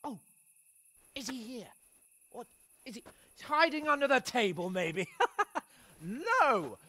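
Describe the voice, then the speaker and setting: Haughty, high-pitched young nobleman. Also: big pitch swings, slow, sharp tone, full character voice. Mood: haughty, mocking. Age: young adult. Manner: haughty, sneering, drawling. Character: arrogant, hot-tempered young nobleman, spoiled and boastful. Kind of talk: dramatic video game acting.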